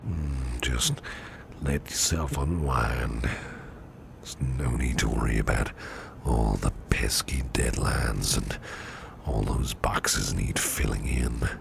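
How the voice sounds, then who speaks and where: An extremely low, crackling voice for a protective, gently flirtatious businessman boss acted by a man in an ASMR roleplay. He speaks slowly in a soft, breathy murmur, often half-whispering, courteous and soothing. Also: full character voice. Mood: tender, soothing. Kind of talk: ASMR personal attention roleplay.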